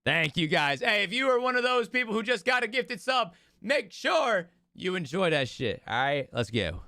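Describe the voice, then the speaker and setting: A man's voice: sits high, sing-song, normal speed, bright, forceful tone, no echo, clean studio sound. A man livestreaming casually to his viewers as host, talking in hyped, slangy bro speak with swearing, his voice strained from overuse. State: voice strained, losing it. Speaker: live streamer hosting a watch party.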